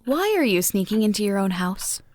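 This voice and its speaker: A woman's voice: medium pitch, big pitch swings, brisk, a little mellow, slight background noise, close to an everyday voice. A woman playing a dry, sardonic wife. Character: dry, wry wife unbothered by her husband.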